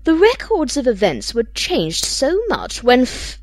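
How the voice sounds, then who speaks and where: Secretive gothic lady, played by a woman, haughty, deliberate, hugely varied pitch, dry close sound, audiobook monologue. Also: huge pitch swings, dry recording, exaggerated voice. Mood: brooding, imperious. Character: weary, secretive woman dictating a gothic confession.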